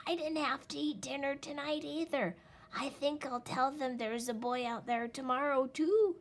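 A woman voicing a mischievous young male wolf cub who shouts and pleads in a shrill voice. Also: normal speed. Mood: sly whisper.

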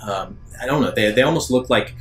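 A man acting as game master, improvising narration for a tabletop roleplaying game in halting, thoughtful phrases. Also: medium pitch, slight background noise.

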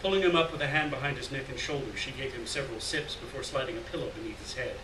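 A middle-aged man giving a dramatic, deliberate live reading of a literary story from a lectern. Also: medium pitch. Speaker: reader of short fiction. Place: indoors at a lectern in a gallery room. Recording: roomy, distant, noisy live room recording.

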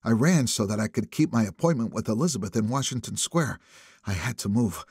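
A man narrating a thriller audiobook in the first person, with expressive, suspenseful phrasing. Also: fairly low pitch, fairly quick, slightly rough, no room sound, studio quality.

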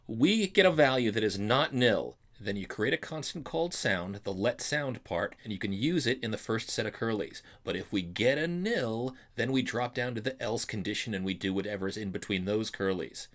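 Low-pitched man teaching a coding tutorial. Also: expressive, normal speed, fairly bright tone. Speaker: programming instructor. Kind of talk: explanatory screencast tutorial. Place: voice-over for a screen recording.

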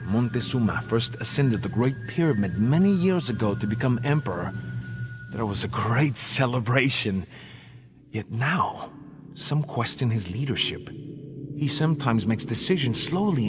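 An actor playing a proud Aztec jaguar warrior who solemnly recounts ominous events as dramatic game cutscene narration. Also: male voice, mid-range voice, lively intonation, natural voice. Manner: solemn, measured storytelling. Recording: music behind the voice.

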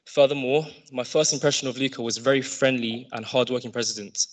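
A young man reads a prepared campaign speech from notes at a lectern microphone. His voice sits at an ordinary male pitch, and he keeps a steady pace with a few restarts. The sound has the open feel of a large lecture hall. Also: fast talker. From London, England. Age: young adult. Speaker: student society presidential candidate.

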